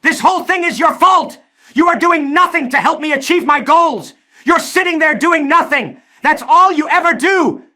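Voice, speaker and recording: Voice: high-pitched, moderate pace, pushed and bright. An actor voicing a furious, scornful mad scientist in a cartoon comic dub, ranting with sneering sarcasm. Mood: scornful rage.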